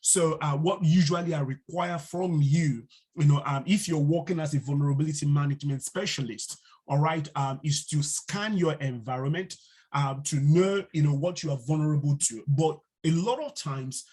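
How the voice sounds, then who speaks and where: A man teaches a live online training session over a video call. His voice sits a little high and sounds husky. He talks unhurriedly, padding his sentences with fillers and pausing to quiz the class. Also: darkish tone. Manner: unhurried, filler-heavy, asks the class questions. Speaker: instructor. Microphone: video call mic.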